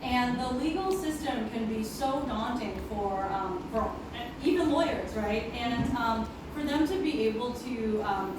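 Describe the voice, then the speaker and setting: A middle-aged woman from Hong Kong, a lawyer giving an earnest public speech with many ums, mixing English and Cantonese. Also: a little high. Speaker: deputy city attorney giving a speech. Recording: echoey room, voice from a distance, clear background noise.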